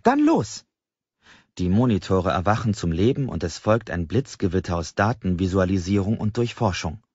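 A man with a fairly low voice narrates literary fiction. His delivery is expressive storytelling. The sound is dry, without room echo, and slightly dull. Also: husky. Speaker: audiobook narrator.

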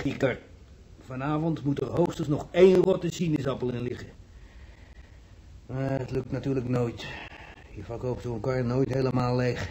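An actor playing an easygoing working-class fruit vendor, folksy and hesitantly musing, in a Dutch radio play. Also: male voice, medium pitch, dark tone, natural voice. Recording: noisy old radio drama recording.

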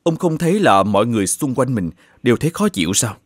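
A man's voice: medium pitch, very animated, quick pace, breathy edge, dry recording, clean studio sound. A man playing a solemn old saint who guards heaven's gate in a cartoon fairy tale, grave and admonishing. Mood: stern warning.

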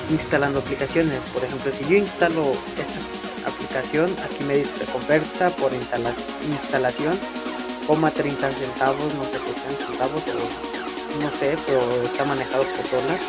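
A young man giving a casual, hesitant, improvised phone app tutorial in an informal way. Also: medium pitch. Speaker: tutorial video maker. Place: voice-over to a phone screen recording. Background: prominent background music.

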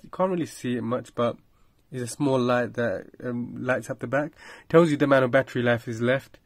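A man's voice: mid-range voice, fairly quick, no echo. A man giving a casual product review in a plain-spoken, slightly hesitant explaining manner.

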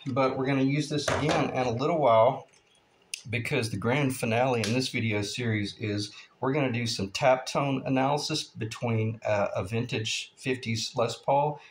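A man in his fifties giving a relaxed, rambling guitar-building tutorial at his workshop bench, with many "uh" fillers. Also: mid-range voice, normal speed, a little hiss or hum. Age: 50s.

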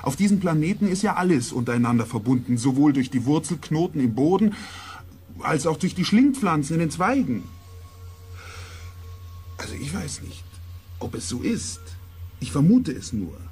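An actor playing a thoughtful scientist on a space expedition in a radio play, musing aloud in a husky voice. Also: male voice, on the high side, sing-song, moderate pace, darkish tone, faint music behind. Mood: musing, probing. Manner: musing, reasoning in questions.

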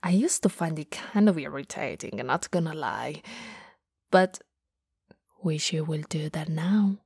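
A woman performs a goth older sister with a slightly low voice and an unhurried, gentle delivery. She is warm, nostalgic and teasing, speaking softly and intimately as in ASMR roleplay. The sound is close and dry. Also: slightly rough, dry recording, heavily acted. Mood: fond, teasing. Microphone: close studio microphone.

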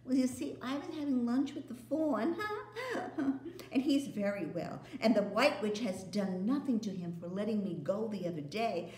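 An excited, earnest little girl, put on by a woman reader speaks in a raised, eager voice. The recording has a roomy echo. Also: mid-range voice, moderate pace, lightly acted. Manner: excited, breathless telling.

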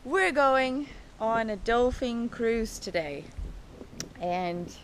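A woman in her thirties filming a bubbly, excitable travel vlog on a handheld camera, laughing and exclaiming as she goes. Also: fairly high pitch, very slow, a little hiss or hum. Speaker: vlogger. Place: outdoors and in resort rooms, on the move. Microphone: handheld action camera.

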